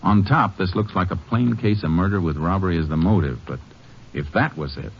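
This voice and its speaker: An actor playing a calm, methodical Texas Ranger detective in a 1950s radio drama, reasoning in a plainspoken way. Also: male voice, sits low, brisk, slightly rough. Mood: thoughtful. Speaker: Texas Ranger lead and narrator. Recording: dull lo-fi 1950s radio broadcast.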